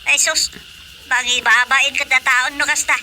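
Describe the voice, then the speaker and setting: A fussy, talkative Ilocano mother played by an actress in a comic radio play. Her high voice is very sharp and forceful, with faint noise behind. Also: fairly even intonation, normal speed. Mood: fussing. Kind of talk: radio drama comedy acting.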